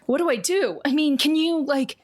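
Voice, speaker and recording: A woman's voice: sits high, normal speed, voice pushed up, natural voice. A woman voicing a big male thug, panicked and gullible, in a tabletop game.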